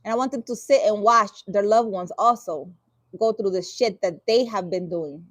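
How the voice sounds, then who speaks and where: A woman's voice: mid-range voice, moderate pace, no room sound. A woman reading a dream interpretation aloud and breaking off with sarcastic, exasperated comments. She swears casually and often restarts her sentences. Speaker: spiritual vlogger.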